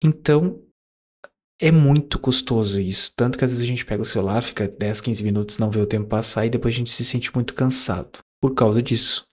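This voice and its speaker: A man's voice: medium pitch, brisk. A man, talking casually to his viewers in a reflective monologue, rambling with fillers and self-corrections. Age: 20s. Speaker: YouTuber.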